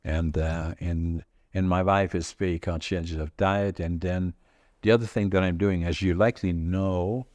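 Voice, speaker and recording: Deep-voiced Dutch-accented man in his eighties. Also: steady tone, a little mellow, no echo. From Northern Netherlands. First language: Dutch regional dialect. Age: 80s. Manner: halting and rambling, with many fillers and restarts. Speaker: podcast host. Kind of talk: casual conversational storytelling.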